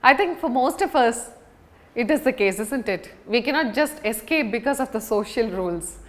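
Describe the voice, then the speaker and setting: Female instructor, highly animated intonation, slightly high voice, emphatic deliberate delivery, lesson style. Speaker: public speaking instructor. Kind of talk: scripted lesson to an audience on video.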